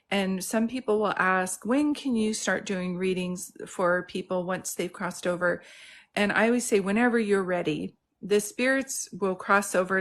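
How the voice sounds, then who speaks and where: Brief: middle-aged woman, mid pitch, casual livestream host, chatty, greets viewers by name, phone mic. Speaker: psychic medium host. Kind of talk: casual live-stream chat. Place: home in Santa Cruz.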